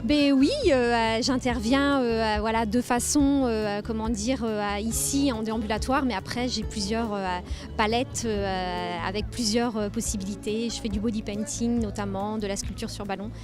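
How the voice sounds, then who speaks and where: A woman's voice: a little high, steady tone, moderate pace, music in the background. A woman from Anjou who works as a face painter and children's entertainer, speaking informally in an interview. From Anjou, France.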